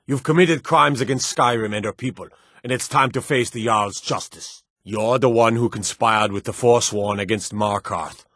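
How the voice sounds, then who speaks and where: Gruff, low-voiced fantasy city guard. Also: male voice, normal speed, fairly bright tone, no room sound, studio quality. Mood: accusing, menacing. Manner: gruff, authoritative, clipped threats. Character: stern, menacing fantasy city guard, weary and corruptible. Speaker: video game guard character. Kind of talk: scripted fantasy game voice lines.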